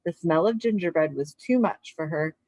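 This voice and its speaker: A woman speaking as an elementary teacher to young children, in simple, clear wording suited to a lesson or storybook read-aloud. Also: sits low, animated, moderate pace, darkish tone, no echo. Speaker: elementary physical education teacher.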